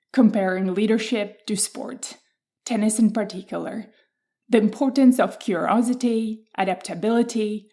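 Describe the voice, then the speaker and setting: A woman hosting a podcast, reading a measured, reflective introduction and then welcoming her guest. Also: medium pitch, darkish tone. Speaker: podcast host.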